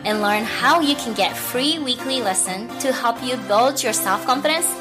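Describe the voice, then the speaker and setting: A woman hosting a podcast, her voice husky from a lingering cough, talking in a chatty, encouraging way and laughing lightly between thoughts. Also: a little high, moderate pace, music in the background. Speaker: podcast host. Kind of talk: casual solo podcast wrap-up.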